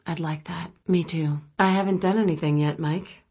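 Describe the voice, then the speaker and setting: Husky, wry woman journalist. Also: on the low side, fairly even intonation, moderate pace, mellow. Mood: guarded, earnest. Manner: dry, teasing, conversational. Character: wry, probing, guarded woman journalist in a spy thriller. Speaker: video game voice actress. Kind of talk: naturalistic video game dialogue acting.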